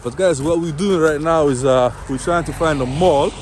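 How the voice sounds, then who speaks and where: A man's voice: on the high side, normal speed, a little mellow. Guy doing a casual walking street vlog, friendly, lots of traffic noise outside. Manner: friendly, addresses viewers as guys. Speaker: travel vlogger interviewing people he meets. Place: outdoors on a winter city street, walking. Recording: noisy outdoor street with traffic.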